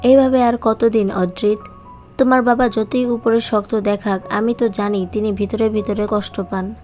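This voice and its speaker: A woman's voice: medium pitch, fairly even intonation, moderate pace, close to an everyday voice. A woman narrator plays a concerned middle-aged mother in an audio story. She urges and pleads with her son. The recording is a little dull and has music underneath. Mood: worried pleading. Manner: pleading, urging. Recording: dull sound with music behind.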